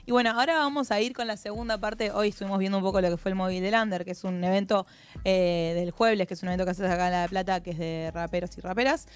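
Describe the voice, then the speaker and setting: A woman's voice: mid-range voice, steady tone, smooth. A woman in her thirties with an Argentine accent, hosting a TV interview in a studio with a handheld mic, gushing and enthusiastic. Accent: Argentine Spanish. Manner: gushing, enthusiastic, quick-talking. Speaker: TV host. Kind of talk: casual TV interview. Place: TV studio set.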